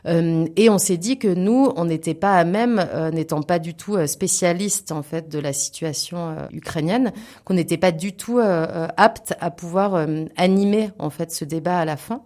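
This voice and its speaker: A woman's voice: on the low side, moderate pace, darkish tone. A woman speaking as a theatre guest in a spontaneous interview, earnest and emphatic while often searching for words. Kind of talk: spontaneous radio interview conversation.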